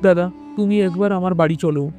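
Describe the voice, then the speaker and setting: A man playing a gullible young husband who is quick to scold in a cartoon story. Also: high voice, steady tone, dark tone, natural voice. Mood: grave. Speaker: narrator and voice actor. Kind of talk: dramatic storytelling narration for a cartoon tale. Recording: music bed behind the voice.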